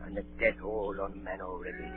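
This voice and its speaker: An actor playing a gloomy, superstitious pirate sailor in a brooding 1930s radio style. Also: male voice, sits low, flat delivery, fast talker, lightly acted. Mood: haunted dread. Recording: muffled 1930s radio broadcast, music behind.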